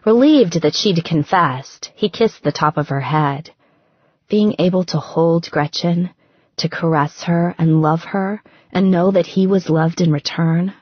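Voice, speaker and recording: Woman, romance audiobook narration, lowish pitch, slow reading, slightly breathy grain, dry sound. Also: no echo, muffled recording. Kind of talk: scripted romance fiction narration.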